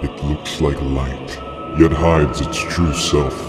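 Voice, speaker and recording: A man voicing an ancient dragon elder in a comic dub, speaking in a solemn, ominous and deliberate storytelling manner. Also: a bass voice, fairly even intonation. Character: ancient male dragon elder, grave and prophetic. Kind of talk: dramatic comic dub acting. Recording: long cavernous reverb under music.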